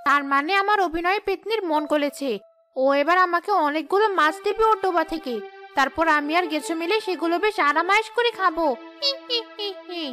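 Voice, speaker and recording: A woman's voice: extremely high pitch, sharp tone, faint music behind. A woman voicing a sly, sulky, greedy female ghost wife in a cartoon, whining and scheming. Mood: gleeful scheming. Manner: whining, scheming, quick to complain. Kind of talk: cartoon ghost-story dialogue.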